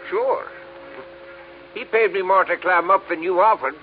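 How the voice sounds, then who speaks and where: High-voiced, cagey New cabbie. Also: male voice, unhurried. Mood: cagey, bargaining. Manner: folksy, knowing, ungrammatical working-class talk. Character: streetwise, cagey New York cab driver, haggling man. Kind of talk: 1950s radio drama acting. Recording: muffled old radio recording, faint hiss.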